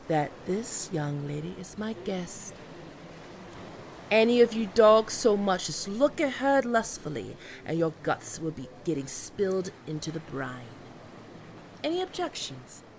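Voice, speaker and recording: A woman playing a commanding, mocking and seductive female pirate captain in an audio roleplay, with theatrical, teasing, drawn-out menace. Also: medium pitch, very animated, vocal fry, noisy background. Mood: commanding, threatening. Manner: theatrical, teasing, drawn-out menacing delivery with archaic turns of phrase. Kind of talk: ASMR roleplay acting.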